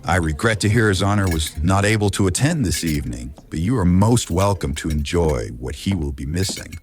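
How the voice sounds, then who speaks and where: A man's voice: low voice, lively intonation, a little fast, a little hiss or hum. A man voicing a stately, war-weathered older duke, formal and courtly, in a dramatised historical audiobook. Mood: courteous, grave.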